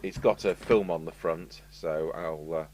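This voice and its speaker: A man's voice: sits low, a little slow. An English man hosting a casual, unscripted repair video, rambling chattily with asides as he demonstrates. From United Kingdom. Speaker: video host and radio repairer. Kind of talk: casual unscripted talk to camera while demonstrating. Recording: steady hum and background noise.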